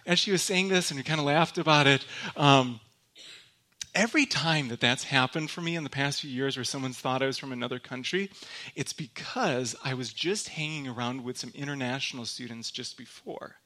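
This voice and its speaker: A young man from Michigan giving a church talk as a guest speaker, telling personal stories in a relaxed, joking way with lots of fillers and restarts. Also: medium pitch. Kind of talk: sermon told as personal stories.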